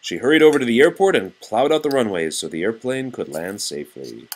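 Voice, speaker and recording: Animated man reading a storybook aloud. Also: medium pitch, fairly quick, a little hiss or hum. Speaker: reader of a children's picture book. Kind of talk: storybook read-aloud to a child.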